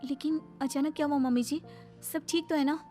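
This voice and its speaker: A woman's voice: high-pitched, fairly even intonation, a little fast, dark tone, pitched above the performer's own voice, close to an everyday voice. A woman voicing a meek, dutiful young widow in a storybook tale, timid and questioning. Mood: timid, puzzled. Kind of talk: storybook character dialogue. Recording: background music under the voice.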